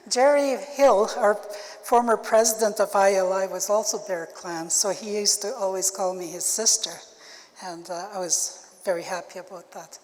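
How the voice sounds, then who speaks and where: An older woman who grew up speaking Ojibwe, giving a keynote talk at a conference in a slow, halting way with many fillers. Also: mid-range voice, a little mellow. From Canada. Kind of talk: keynote address. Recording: long hall reverb.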